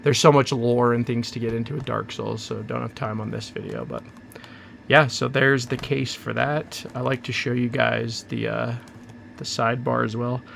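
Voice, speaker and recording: A man hosting his own channel, chatting casually and rambling through an unboxing, hoarse from a cold. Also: medium pitch, steady tone, moderate pace, fairly bright tone, slight background noise. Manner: rambling, chatty, self-interrupting. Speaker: channel host.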